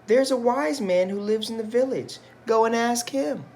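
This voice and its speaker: A man's voice: extremely high pitch, normal speed, a little mellow, slight background noise, voice pushed up. A man voicing an unhappy, demanding wife in a picture-book folktale, complaining insistently. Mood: exasperated.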